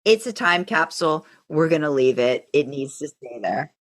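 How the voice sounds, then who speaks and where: A woman's voice: sits low, lively intonation, moderate pace. A woman co-hosting a casual, joking fan podcast, chatting conversationally and repeating herself for emphasis. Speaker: podcast co-host.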